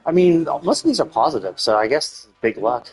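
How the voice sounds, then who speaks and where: Guy streaming a game, kinda husky voice, casual and unsure, thinking out loud, faint music behind. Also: mid-range voice, sing-song, brisk, rough recording. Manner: thinking aloud, tentative, self-correcting. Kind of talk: casual live gameplay commentary.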